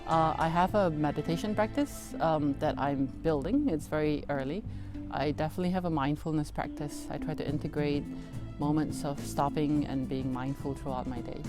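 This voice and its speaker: A middle-aged woman speaking calmly and thoughtfully in an outdoor interview. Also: low voice, moderate pace, few pauses, music in the background. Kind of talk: reflective interview answer. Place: outdoors by a garden hedge.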